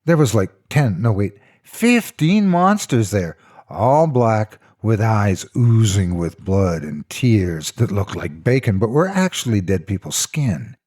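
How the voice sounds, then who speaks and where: A man tells a scripted story with theatrical, mock-serious delivery. His voice sits fairly low with a husky, rough grain, and it rises and falls in big animated sweeps. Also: takes it slowly. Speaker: narrator of horror stories.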